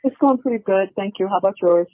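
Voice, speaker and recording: Quick-speaking woman over a tinny phone line. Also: medium pitch, a crowd in the background. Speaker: caller talking with a prisoner. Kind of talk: casual phone conversation. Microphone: telephone.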